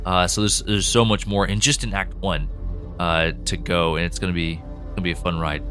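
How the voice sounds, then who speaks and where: A man's voice: low voice, flat delivery, brisk, fairly bright tone, faint music behind. A man co-hosting a podcast and chatting casually, rambling with frequent restarts. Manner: rambling, restarts often, laughs easily. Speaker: co-host and writer.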